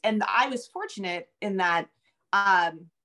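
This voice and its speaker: A woman's voice: medium pitch, animated, slow pace, sharp tone. A woman in her forties giving conversational interview answers as a guest author on a video call. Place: home room with bookshelves, indoors.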